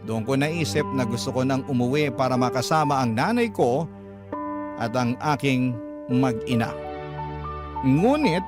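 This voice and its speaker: Radio storyteller, male, mid-pitched voice, reading a personal story in first person over a music bed. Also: animated, unhurried, pauses often.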